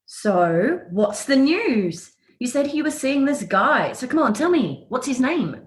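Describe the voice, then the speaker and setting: Woman as a lively, teasing, nosy friend. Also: high-pitched, a little slow, echoey room. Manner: probing, teasing, exclamatory. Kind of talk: radio play acting.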